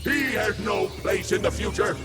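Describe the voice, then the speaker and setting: An actor playing a stern, scheming father who hungers for vampire godhood, speaking in a commanding, menacing way over a loud score. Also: sits high, moderate pace, loud music behind the voice, lightly acted. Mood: persuasive. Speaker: villain. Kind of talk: video game cutscene acting. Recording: game audio with loud score.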